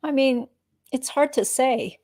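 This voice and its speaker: A woman's voice: sits high, takes it slowly, darkish tone, dry recording, clean studio sound. A woman reading the part of a guarded, noncommittal witness giving careful answers in a dramatic reading of a deposition. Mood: hesitant, recalling. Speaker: reader playing a deposed witness.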